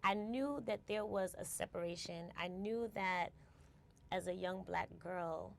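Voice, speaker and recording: Interview guest: New woman in her forties, low gravelly voice, reflective and unhurried. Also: a little hiss or hum. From United States. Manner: reflective, tags phrases with "right?" and restarts words. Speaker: guest, company founder. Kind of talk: casual interview conversation.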